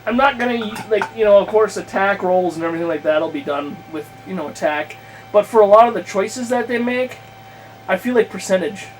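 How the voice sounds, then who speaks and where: A man chatting casually about his tabletop game plans, thinking aloud in a halting, self-correcting way with crude language. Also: high voice, animated. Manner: crude, freely swearing. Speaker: podcast guest host, game master. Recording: clearly noisy room recording.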